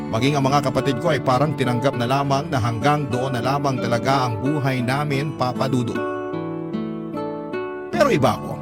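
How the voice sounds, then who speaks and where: A Filipino man reads a scripted first-person story as a radio drama narrator. His voice sits at a medium pitch, with prominent plucked guitar music playing underneath. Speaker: narrator reading a letter sender's story.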